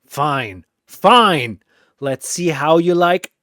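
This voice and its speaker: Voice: mid-range voice, lively intonation, very slow, a bit bright, no room sound, clean studio sound, pitched above the performer's own voice. A narrator voicing a manic, mocking dream demon trickster who taunts and wisecracks with cruel glee. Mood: mocking annoyance.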